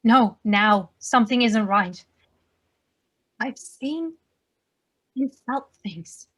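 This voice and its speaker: A woman's voice: on the high side, extremely slow, slightly rough, full character voice. A woman playing an anxious, determined expectant mother in a thriller drama table read. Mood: urgent, guarded.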